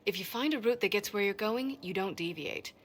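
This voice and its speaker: A woman plays a self-assured fantasy game expert with a mid-range voice. She is brisk and matter-of-fact, with a dry, wry streak. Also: medium pitch, expressive, slight background noise, natural voice. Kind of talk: video game voice acting.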